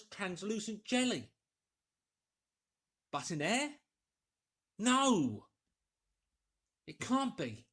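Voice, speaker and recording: Storybook English doctor, acted by a male reader: high-pitched, incredulous, exclamatory, thinking aloud in bursts. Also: heavily acted. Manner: exclamatory, emphatic, musing aloud in short bursts. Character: astonished, agitated English doctor arguing with himself. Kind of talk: dramatised audiobook character voice.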